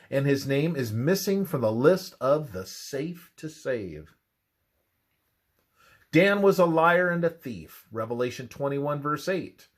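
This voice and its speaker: A man reading a scripted religious narration in a measured, expressive manner. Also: medium pitch.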